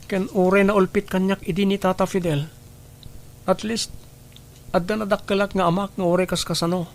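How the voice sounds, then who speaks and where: High-voiced, creaky, forgiving young son. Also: male voice, level tone, a little fast. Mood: forgiving, earnest. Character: forgiving, dutiful young son raised by harsh father. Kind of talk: Ilocano radio drama acting.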